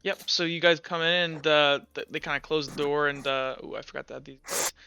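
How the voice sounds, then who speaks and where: A man narrating a tabletop game as game master in a casual, halting, conversational way. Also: fairly high pitch, steady tone, vocal fry, sharp tone. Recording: slightly dull, lo-fi audio. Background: music.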